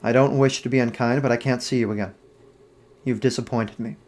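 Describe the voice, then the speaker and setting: A man's voice: mid-range voice, steady tone, a little fast, a little mellow, lightly acted. A man reading aloud, playing a cold, cruel young man bitterly rejecting his lover with scorn. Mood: cold calm.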